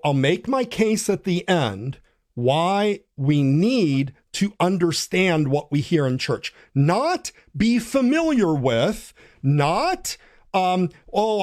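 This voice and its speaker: A man speaks as the host, slow and deliberate, in a somewhat high voice. He talks earnestly and urges his listeners, often restarting his sentences and filling pauses with "um". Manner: earnest, exhorting, with restarts and fillers. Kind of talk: talk on church language.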